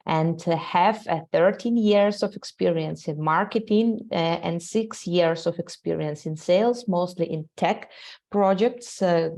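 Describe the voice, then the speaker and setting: Ukrainian-accented woman hosting a webinar, gravelly. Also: fairly low pitch, darkish tone. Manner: halting, many "uh" fillers, non-native grammar. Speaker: webinar host, sales executive. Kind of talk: live webinar presentation.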